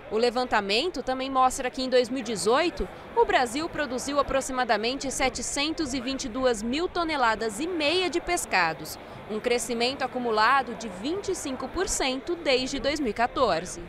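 Brazilian lady tv reporter, high voice, clear steady news read, handheld mic. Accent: Brazilian Portuguese. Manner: measured, clear broadcast delivery. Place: indoor trade fair hall. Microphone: handheld microphone.

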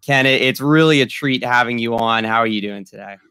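A young American man hosts an interview in a conversational style. His voice sits at a medium pitch and sounds close and dry, with little room echo, over a video call. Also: a little fast, pushed and bright, smooth. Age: young adult. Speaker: podcast interviewer, host. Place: small room at home, indoors.

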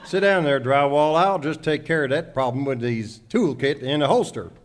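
A man's voice: a little high, animated, moderate pace, natural voice. An actor playing a bossy, laconic, trigger-happy cowboy gunman in a comic Western radio sketch. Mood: cocky.

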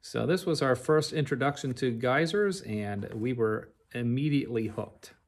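A man's voice: mid-range voice, normal speed. A middle-aged man narrating a travel slide show in a conversational, orderly and detailed way. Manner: recounts in an orderly, chronological, detailed way.

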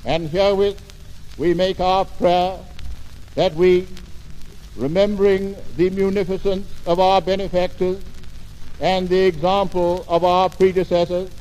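British RP male headmaster, high and monotone, measured solemn prayer, long church reverb on a vintage 1950 record. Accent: British received pronunciation. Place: large stone abbey.

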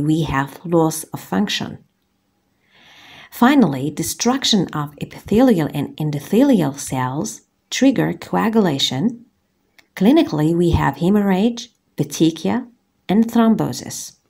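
A woman from Algeria, a gynecologist, giving a scripted medical lecture as a voice-over on slides, measured and precise. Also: lowish voice, darkish tone, slightly rough. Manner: measured, deliberate, precise enumeration.

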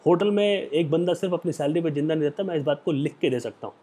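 A young man with an Indian accent giving an earnest motivational talk to the camera on a lapel mic in a small room, lecturing and slowing down for English phrases. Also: on the high side, dark tone, breathy edge. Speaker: hospitality career vlogger. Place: small indoor room, plain wall.

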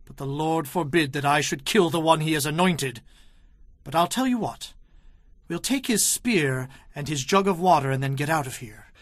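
A bold young warrior played by a man speaks in a high, earnest voice, declaiming with devout loyalty. It is a dramatized Bible production, recorded close and dry. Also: normal speed, fairly bright tone, no echo, close to an everyday voice. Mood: firm, reverent. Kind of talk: dramatized audio Bible acting.

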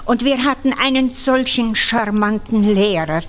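A woman in her nineties from Moldova, speaking with a Moldovan accent and telling a story in an animated, self-mocking way in a large church hall. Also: fairly high pitch, a little slow. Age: 90s. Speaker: interviewee. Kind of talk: casual storytelling.

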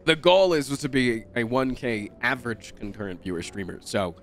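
Nasal, excitable young man streaming. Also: medium pitch, moderate pace, a little hiss or hum. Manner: profane, excitable, jokey. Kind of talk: live-stream gameplay commentary.

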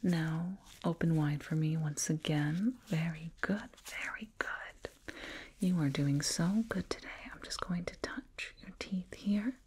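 A woman plays an alien dentist who whispers right up close in an ASMR roleplay. The voice is very breathy and low, drawn out at a slow pace. The manner is clinical yet doting, soothing a human patient with gentle condescension. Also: heavily acted. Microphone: binaural mic, up close.

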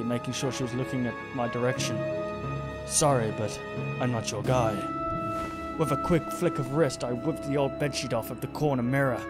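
A man, reading a game aloud and commenting casually into a close microphone. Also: medium pitch. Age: 20s. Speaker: gaming video host. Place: small bedroom studio. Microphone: close boom-arm studio microphone, headset on. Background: music.

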